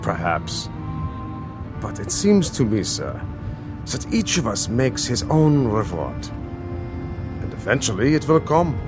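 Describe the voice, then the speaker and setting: An actor playing a polite young taxi driver in a radio drama, courteous and measured, philosophical with a quietly pointed, knowing manner. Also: male voice, medium pitch, sing-song, over music. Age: young adult.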